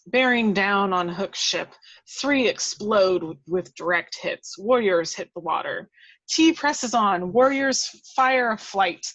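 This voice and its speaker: A woman with a mid-range voice narrates the action of a script at a table read. She takes her time, telling it in a measured, dramatic storytelling way. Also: mid-range voice, a bit bright, rough recording. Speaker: reader of action descriptions.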